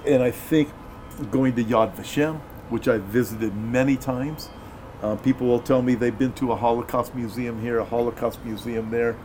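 A man's voice: medium pitch, fairly even intonation, moderate pace, darkish tone, a little hiss or hum. An American man, a pastor conducting an interview, speaking earnestly and emphatically, often repeating his phrases.

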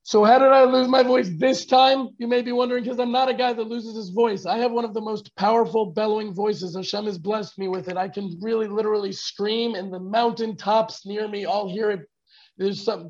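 A hoarse man who has lost his voice, casually chatting and greeting viewers by name in a live online talk. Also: high-pitched, fairly even intonation, fairly quick, darkish tone. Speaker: fellowship teacher. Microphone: video call. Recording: dull video call audio.

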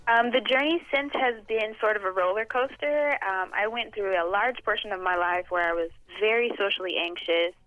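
A young woman from Boston speaks as a guest in a conversation, weighing her words slowly and filling pauses with soft "um"s. Her voice sits at an ordinary middle pitch. The recording sounds muffled and lo-fi, with no room echo at all. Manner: thoughtful, measured, hesitant with frequent "um". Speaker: guest poet. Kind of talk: interview conversation. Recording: dull lo-fi dry recording.

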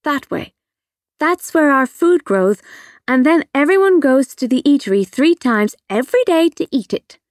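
A woman's voice: high voice, animated, moderate pace, dry recording, studio quality, pitched above the performer's own voice. A woman voicing a chatty, blunt little girl of about five in an audiobook, full of childlike questions. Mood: matter-of-fact. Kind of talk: audiobook character voice.

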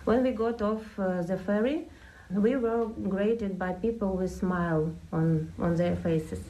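A woman with a mellow, warm tone speaks earnestly, pausing often with fillers. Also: medium pitch, low-quality recording. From Ukraine. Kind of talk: interview testimony.

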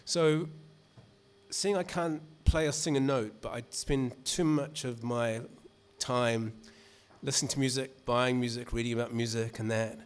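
A man's voice: medium pitch, fairly even intonation, a little slow, clean tone. Nz guy rambling to a crowd, lots of you-knows, middling voice. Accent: New Zealand. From New Zealand. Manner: chatty and rambling, many restarts and "you know". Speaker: author reading at a literary event. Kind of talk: casual talk to a live audience.

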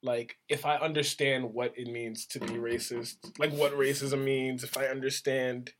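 A man's voice: medium pitch, level tone, moderate pace, a bit bright, slight background noise. A young man co-hosting a podcast in casual chat, laying out points and rambling through hypothetical examples. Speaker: podcast co-host.

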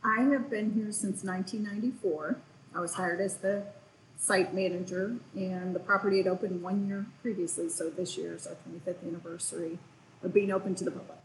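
A woman's voice: on the low side, fairly even intonation, fairly quick, echoey room, a little hiss or hum, poor audio. A woman, a historic site manager, answering interview questions in an informative, matter-of-fact way.

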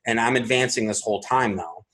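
A man with a husky voice, an interview guest recounting events methodically, step by step, with frequent pauses. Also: medium pitch, fairly bright tone, low-quality recording. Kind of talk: recounting a personal incident in an interview.

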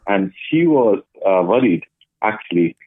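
Guest from India, hesitant and thoughtful, thin phone-line sound, dry. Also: male voice, on the low side, expressive, unhurried, no room sound. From Chennai, India. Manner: thoughtful, hesitant with frequent uhs. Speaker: guest, blockchain project lead. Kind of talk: interview answers.

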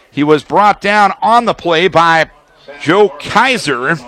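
Male sports announcer, high forceful voice, radio football play-by-play, clipped descriptive phrasing. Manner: clipped descriptive phrases, excited calls on big plays. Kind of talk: live sports commentary, play-by-play.

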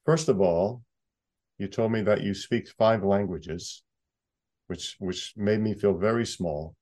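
Friendly male interviewer, halting delivery, video call. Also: on the low side, darkish tone. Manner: friendly, halting, many restarts and "uh"s. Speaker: interviewer, associate editor. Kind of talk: casual interview conversation.

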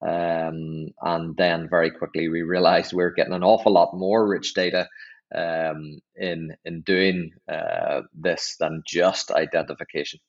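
A middle-aged man with a Northern Irish accent, explaining things in a measured, conversational way as an interview guest on slightly dull, lo-fi audio. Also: low voice, flat delivery, vocal fry. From Northern Ireland. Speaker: chief executive, podcast guest.

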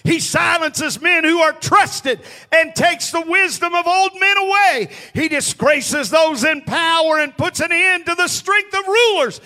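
A male preacher with a strikingly high, piercing voice. He preaches in unhurried, drawn-out phrases, pressing each point and calling on the congregation to respond. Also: bright, forceful tone. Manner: emphatic, calls on the congregation to respond. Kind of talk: sermon to a congregation.

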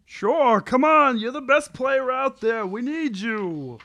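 A man's voice: very high-pitched, expressive, takes it slowly, bright, forceful tone, full character voice. A man voicing a defensive, whiny grown son and brother in a sitcom table read, pleading his case emphatically. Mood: coaxing.

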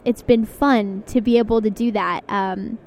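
A woman's voice: a little high, fairly even intonation, measured pace, darkish tone. A young woman chatting as an interview guest, bubbly and full of fillers, recorded on location into a handheld recorder. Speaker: guest, singer and voice actor. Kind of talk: casual interview chat. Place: on location, indoors. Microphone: studio mic into handheld recorder.

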